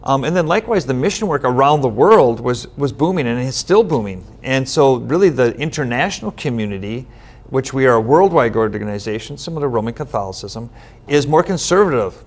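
This is a middle-aged man from Minnesota, a longtime Methodist pastor, seated at a table in a studio. He explains things steadily and earnestly, with everyday fillers and a voice of ordinary pitch. His words come through clean and close. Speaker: United Methodist pastor, guest. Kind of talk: earnest interview answers. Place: studio set with bookshelf backdrop, seated at table.

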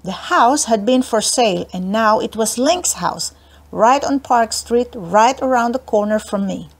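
Children's audiobook narrator, female: expressive read-aloud, higher pitch, noticeable creak. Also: unhurried.

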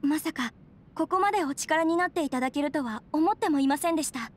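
High-voiced, earnest young noblewoman, acted by a woman. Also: quick pace, a little hiss or hum, natural voice. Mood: grateful. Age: young adult. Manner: polite, formal, earnest. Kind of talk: Japanese anime game voice acting.

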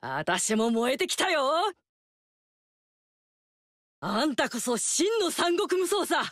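A woman's voice: high-pitched, very animated, slow, fairly bright tone, no room sound, clean studio sound, full character voice. An actress playing a fiery, confident warrior queen in a video game, with brash, teasing, tomboyish lines. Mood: proud.

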